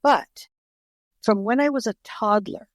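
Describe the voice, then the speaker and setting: A woman's voice: fairly high pitch, unhurried, dry recording, studio quality. An older woman whose first language is Greek, telling stories earnestly in an interview as an author guest and often restarting her words. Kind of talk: conversational interview storytelling.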